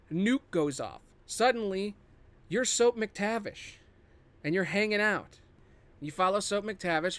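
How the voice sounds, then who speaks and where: A man's voice: sits high, a bit bright. A man hosting a podcast in a casual, improvised solo monologue, rambling and thinking aloud with casual swearing. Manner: casually profane. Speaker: podcast host.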